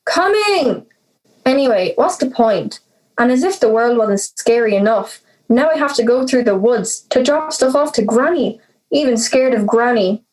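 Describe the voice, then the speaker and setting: A woman's voice: a little high, lively intonation, moderate pace, a little mellow, natural voice, poor audio. A young woman playing an anxious, reluctant, brooding teenage girl in an audio drama. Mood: reluctant, worried. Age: teenager or young adult. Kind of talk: audio drama monologue and dialogue.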